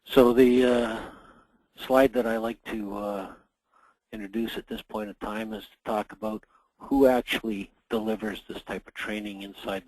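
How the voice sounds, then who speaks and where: A middle-aged man, hoarse from a cold, presenting a workplace safety webinar in a measured way with frequent pauses. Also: lowish voice, darkish tone, dry recording, rough recording. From Canada. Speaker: association general manager presenting a webinar.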